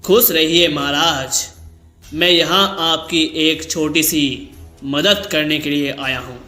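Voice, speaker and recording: A man voicing a calm, knowing ascetic sage in a cartoon story. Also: on the high side, takes it slowly, roomy sound, soft background music. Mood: calm, revealing.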